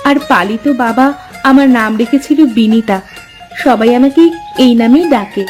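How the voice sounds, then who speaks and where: A woman playing a young village wife from a cartoon fairy tale, high-pitched and expressive, with a plaintive edge and a Bangladeshi accent. She is devoted to her husband, over background music. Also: moderate pace, lightly acted. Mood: wistful. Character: young farmer's wife, long-suffering, devoted, hopeful.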